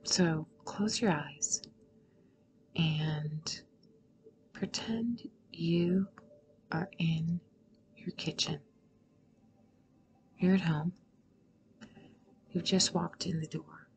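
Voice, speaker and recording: A woman guiding a meditation in a soothing, unhurried way, with long pauses and breathy phrases that trail toward a whisper. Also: deep voice, slight background noise. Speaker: guided meditation host. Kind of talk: guided meditation narration.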